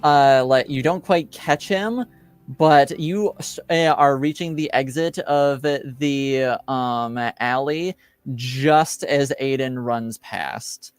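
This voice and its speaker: A man improvising narration as game master of a tabletop game, in halting, hesitant phrases. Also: medium pitch, clear, resonant tone, no room sound.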